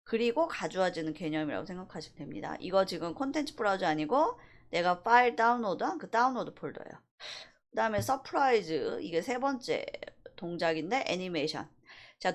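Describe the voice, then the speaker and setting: A woman instructor giving a casual, explanatory screen-recorded software tutorial, slipping between polite and plain speech. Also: mid-range voice. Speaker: animation instructor.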